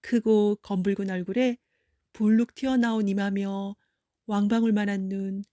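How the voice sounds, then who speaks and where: A woman's voice: medium pitch, fairly even intonation, normal speed, warm tone, dry recording, studio quality. A woman narrating a children's folktale audiobook as a storyteller, with explanatory storybook phrasing.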